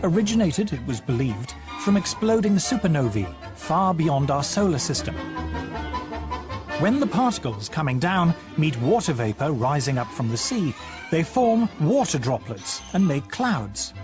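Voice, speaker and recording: A man narrating a documentary in a measured, deliberate scripted delivery. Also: fairly high pitch, sing-song. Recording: narration over music from a film.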